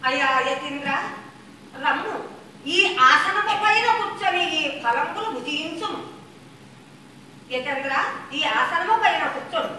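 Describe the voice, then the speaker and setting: Casting: actress for devoted, righteous young princess in puppet theatre, very high bright voice, formal and imploring. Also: female voice, animated, a little slow, long pauses, exaggerated voice. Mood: respectful. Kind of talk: traditional puppet theatre declamation. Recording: echoey, distant, faintly noisy live sound.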